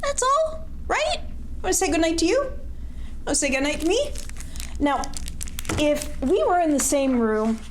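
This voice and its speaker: A woman's voice: very high-pitched, big pitch swings, a little slow, pauses often. A woman hosting an interactive children's read-aloud, speaking in a coaxing sing-song way and putting questions to the listener. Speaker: storytime host reading a picture book. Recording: faint background noise.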